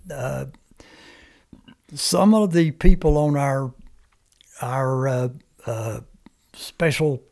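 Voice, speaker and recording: Brief: older man, native Comanche speaker, halting plain-spoken storyteller, studio mic. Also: mid-range voice, darkish tone. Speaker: guest, veteran. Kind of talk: reminiscing interview talk. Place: small podcast studio. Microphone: studio mic on stand, headphones.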